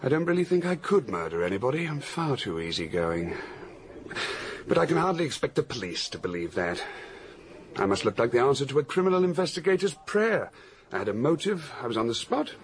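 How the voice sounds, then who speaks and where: An actor with an English accent playing an easygoing, cynical widower in a radio drama, frank and flippant in manner. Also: male voice, medium pitch, very animated, a little fast, a little hiss or hum. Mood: wry, flippant. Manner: flippant, candid, wry. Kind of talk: British radio drama acting.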